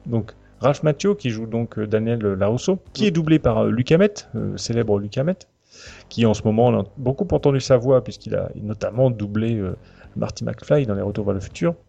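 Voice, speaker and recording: Male podcast host, gravelly and mellow, hesitant film chat with music behind, dull lo-fi sound. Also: lowish voice, lively intonation, brisk. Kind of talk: semi-prepared film discussion. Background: clear background music.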